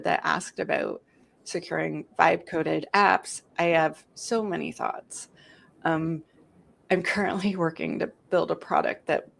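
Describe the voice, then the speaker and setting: A woman in her forties with a lowish, gravelly voice. She explains things in a talkative, animated way and tells stories as she goes. It is a casual live-stream chat. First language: English. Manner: talkative, animated storytelling with laughs. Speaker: host of a security live stream.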